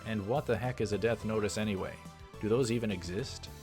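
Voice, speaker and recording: A man's voice: fairly low pitch, brisk, natural voice. Guarded young man read by a man, music behind. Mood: exasperated. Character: wary, exasperated young man in visual novel.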